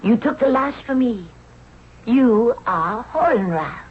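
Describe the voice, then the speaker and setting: A woman's voice: fairly high pitch, animated, slow, breathy edge, exaggerated voice. An actress playing a spirited, girlish and playful young Egyptian princess in a radio drama. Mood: wondering. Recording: dull, noisy old radio broadcast.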